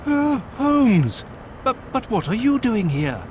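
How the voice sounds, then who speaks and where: A man playing an excitable, loyal and proper Victorian gentleman in a video game, formal and indignant in his delivery. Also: very high-pitched, takes it slowly, a little hiss or hum, full character voice. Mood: startled concern. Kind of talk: video game dramatic acting.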